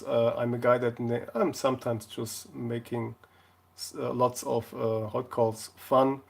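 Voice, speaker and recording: A man from Portugal, chatting casually on a live stream in a jokey way, often halting to search for words. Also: mid-range voice, creaky, darkish tone. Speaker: live streamer, console repair video maker.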